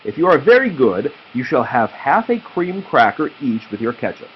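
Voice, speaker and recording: Man reading as brisk, firm storybook mother pig. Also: mid-range voice, very animated, lo-fi sound, slight background noise, natural voice.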